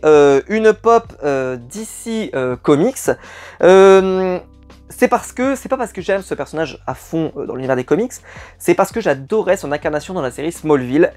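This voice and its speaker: A man chatting to camera as a collectibles vlog host, enthusiastic and rambling, with many hesitant fillers. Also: a little high. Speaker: YouTube collector channel host. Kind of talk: casual unboxing chat to camera.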